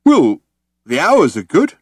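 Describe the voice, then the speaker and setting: A man voicing a huge, slow-witted young alien guard who bellows and booms in an audiobook. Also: wildly swooping pitch, dry recording, studio quality, heavily acted. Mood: slow, pondering. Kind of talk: audiobook character voice.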